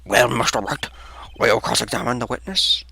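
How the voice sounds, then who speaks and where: A man's voice: mid-range voice, steady tone, normal speed, vocal fry, sharp tone, slightly rough, full character voice. A man voicing an elderly courtroom judge in a video game, fussy and emphatic, easily flustered. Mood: mild, solemn. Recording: music under the voice.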